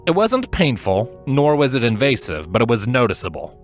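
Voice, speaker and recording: A man narrating scripted fiction as a storyteller, with an expressive, suspenseful delivery. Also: mid-range voice, normal speed, faint music behind.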